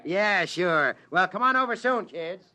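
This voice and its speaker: A man's voice: sits high, expressive, measured pace, pushed and bright, heavily acted. An American man playing a brash, wisecracking, blustering movie director in a 1950s radio drama. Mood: hearty, jovial. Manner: blustering, jokey, slangy. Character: brash, wisecracking Hollywood film director, loud and hearty. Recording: old-time radio recording.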